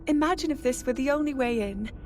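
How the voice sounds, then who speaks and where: An earnest young scholar princess from a fantasy game, played by an actress. Her voice is high and polite, with a matter-of-fact way of explaining things over faint music. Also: quick pace, natural voice. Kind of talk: video game cutscene acting.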